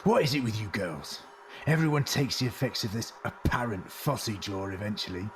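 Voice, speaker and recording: A man's voice: lowish voice, expressive, moderate pace, lightly acted. A man playing a callous, domineering Victorian factory boss in an audio drama, curt and contemptuous. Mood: scornful. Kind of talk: fan audio drama acting. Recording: clear noisy ambience behind.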